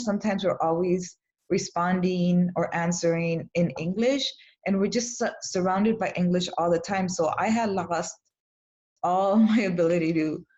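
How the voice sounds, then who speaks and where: A woman's voice: on the low side, a little mellow. A young woman from Los Angeles, who grew up speaking Spanish, hosts a casual webinar, encouraging and often restarting her phrases. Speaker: webinar host and coach. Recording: slightly dull lo-fi webinar audio.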